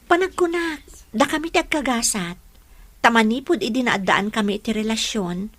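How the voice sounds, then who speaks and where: A woman's voice: a little high, normal speed, fairly bright tone. An actress playing a trusting, anxious young girlfriend in a radio drama, with an Ilocano accent. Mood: defensive, earnest.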